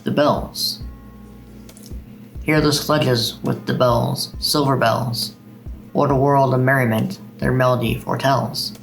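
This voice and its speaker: A man's voice: medium pitch, fairly even intonation, slow pace, creaky, soft background music, rough recording. A man hosting a video of poetry readings. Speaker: video host reading poems.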